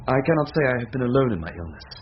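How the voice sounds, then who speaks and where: An actor playing an earnest, proud young student in an old-time radio drama, speaking formally, on a dull, hissy recording. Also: male voice, medium pitch, sing-song, racing, natural voice. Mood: polite.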